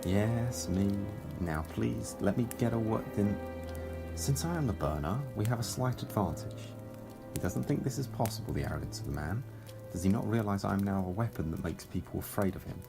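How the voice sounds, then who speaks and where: A man playing a smug, menacing executioner and schemer in a science fiction audio drama, with a gravelly voice. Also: fairly low pitch, moderate pace. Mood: grim, controlled. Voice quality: gravelly, creaky. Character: calculating, menacing male executioner Time Lord, smug schemer. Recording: clear music behind. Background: music.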